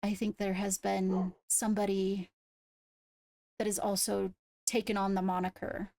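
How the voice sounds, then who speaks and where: A woman playing a measured, diligent fantasy court investigator in a roleplay, with formal, deliberate, slightly hesitant phrasing and a creaky voice. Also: medium pitch, little pitch movement, mellow, no room sound, clean studio sound. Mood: serious, reasoning. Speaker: roleplay player acting as an investigator. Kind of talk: improvised in-character roleplay dialogue.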